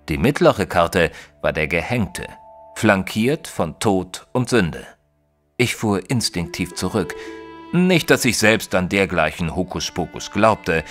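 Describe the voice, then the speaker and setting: A man playing a proper, opinionated Victorian gentleman who tells his story in a German audio drama, measured and expressive. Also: sits low. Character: proper Victorian gentleman narrator, opinionated, easily shocked companion. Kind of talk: dramatised first-person audiobook narration in German.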